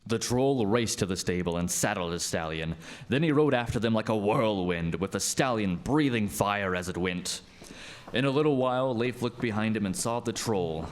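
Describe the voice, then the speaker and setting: Man, storyteller, scripted narration, fairly low pitch, faint background noise. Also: expressive, moderate pace.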